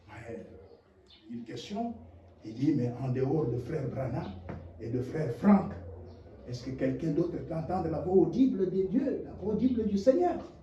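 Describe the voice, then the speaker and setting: A man preaching a sermon, impassioned, dropping to low murmurs and rising to shouted rhetorical questions. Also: a little hiss or hum. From DR Congo. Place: church hall. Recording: echoey, distant, dull lo-fi church recording.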